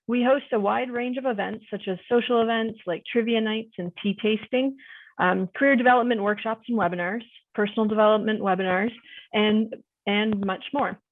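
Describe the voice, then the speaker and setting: Woman reading welcome remarks, tinny line. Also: on the high side. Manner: polite, measured, reads a prepared script. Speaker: alumni career coordinator. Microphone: video call. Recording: dry, tinny phone-like line.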